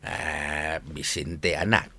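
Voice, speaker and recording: An actor playing a boastful, hard-drinking old father in a radio comedy drama, halting and mumbling with chuckles and filler sounds. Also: rumbling low, fairly even intonation, pushed and bright, no echo, full character voice. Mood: sheepish. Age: older.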